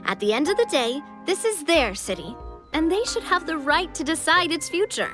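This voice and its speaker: A young boss turned king in a video game cutscene. Her voice is high and sweeps expressively up and down, poised and gracious, over background music. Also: expressive, moderate pace. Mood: confident. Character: confident, gracious young female leader playing a king.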